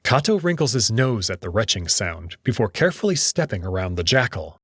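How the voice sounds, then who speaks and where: A man's voice: lowish voice, very animated, fairly quick, fairly bright tone, husky, no echo, clean studio sound. A man narrating a scripted story in the first person, in a dramatic reading style.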